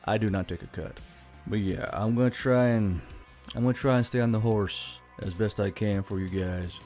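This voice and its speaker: A man's voice: on the low side, normal speed, darkish tone. A man hosting a channel, talking casually and directly to his viewers. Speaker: channel host. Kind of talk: casual outro talk to viewers. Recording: music bed under the voice.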